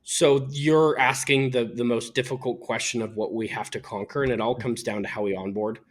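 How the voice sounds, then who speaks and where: A man's voice: medium pitch, fairly even intonation, normal speed. Candid man explaining his business. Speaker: company founder being interviewed. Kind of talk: explanatory business interview talk.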